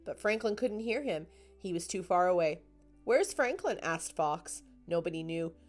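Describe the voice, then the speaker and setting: A woman's voice: lowish voice, normal speed, soft background music. A woman narrating a children's picture book as a scripted storytime read-aloud.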